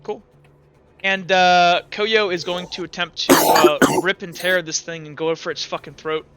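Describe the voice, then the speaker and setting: A man's voice: high-pitched, flat delivery, unhurried, fairly bright tone. A young man narrating as game master of a tabletop game, rambling with lots of fillers. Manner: rambling, lots of likes and uhs. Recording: dull lo-fi mic.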